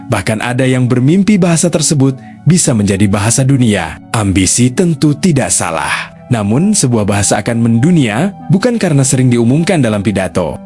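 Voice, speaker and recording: A man reading a scripted documentary narration in a measured, explanatory way. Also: medium pitch, darkish tone.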